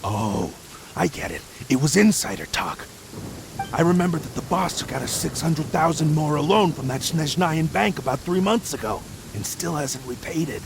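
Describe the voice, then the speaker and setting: An actor playing a scheming, know-it-all bandit in a video game, gravelly, conspiratorial and condescending. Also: male voice, a little high, expressive, moderate pace, background noise. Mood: knowing, smug.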